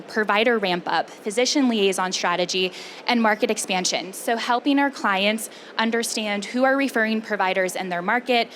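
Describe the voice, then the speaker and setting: A woman, a company vice president, giving a conference pitch in a chatty, conversational way. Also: fairly bright tone, slight background noise.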